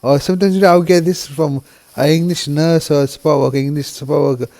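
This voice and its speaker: Subdued man with a mellow voice. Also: fairly high pitch, steady tone, creaky. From United Kingdom. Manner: halting, heavy with "you know" and restarts; subdued and quiet, grieving. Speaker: vlogger. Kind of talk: emotional personal monologue.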